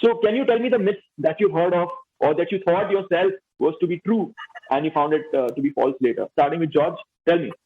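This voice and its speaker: A man hosting a panel discussion, upbeat, prompting each guest with quick praise. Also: fairly high pitch, a little hiss or hum. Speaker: host. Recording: dull lo-fi audio.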